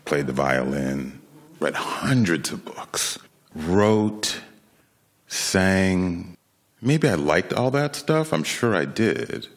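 An American man in his late fifties telling a personal story to an audience in a reflective, conversational way, with pauses for emphasis. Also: low voice, big pitch swings, a little hiss or hum. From Rochester, New York, United States. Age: late 50s, nearly sixty.

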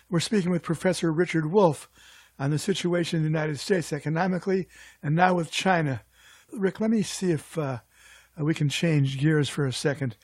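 A male radio host leads an interview in a dry, close studio sound. His voice sits a little high for a man. He asks his questions politely, with some hesitation. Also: darkish tone, no room sound.

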